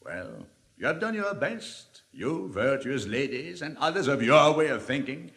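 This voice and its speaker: Suave cynical libertine, lively swinging intonation, declamatory 1950s stage drama, long hall reverb. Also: male voice, medium pitch, gravelly, exaggerated voice. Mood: earnest, rhetorical. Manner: rhetorical, deliberate, declamatory. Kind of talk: 1950s theatrical dramatic reading.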